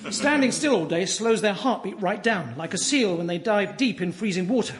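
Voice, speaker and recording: An English actor playing an absurd, cheerfully oblivious self-styled expert, deadpan, in a surreal radio sitcom. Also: male voice, high-pitched, normal speed.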